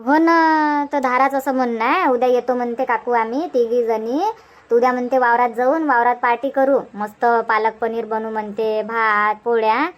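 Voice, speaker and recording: Chatty village mother for cartoon comedy, female performer: high voice, Varhadi Marathi accent, bossy but easygoing, rambling delivery. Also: fairly even intonation, normal speed, lo-fi sound, lightly acted. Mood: explaining. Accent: Varhadi Marathi (Vidarbha). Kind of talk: homemade cartoon comedy dub.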